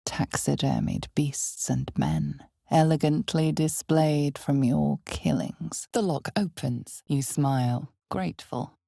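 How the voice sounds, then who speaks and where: A woman performing a solemn, ominous narrator for a dark fantasy game, with a husky, gravelly voice and a measured, deliberate delivery. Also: dry recording, clean studio sound, full character voice. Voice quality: husky, breathy, gravelly low voice. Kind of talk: scripted dramatic fantasy game narration in second person.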